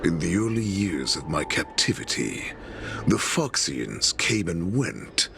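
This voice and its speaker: An actor playing an ancient, sly, captive wolfish warlord in a game, speaking with slow theatrical menace and breathy drops toward a whisper. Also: male voice, low voice, sing-song, noisy background, full character voice. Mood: bitter, brooding. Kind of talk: dramatic game cutscene monologue.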